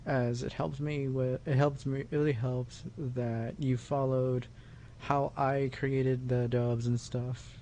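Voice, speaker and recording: A man hosting a channel, giving a casual unscripted thank-you in a halting, repetitive, rambling way. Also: medium pitch, little pitch movement, dark tone, slight background noise. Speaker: channel host and dub producer.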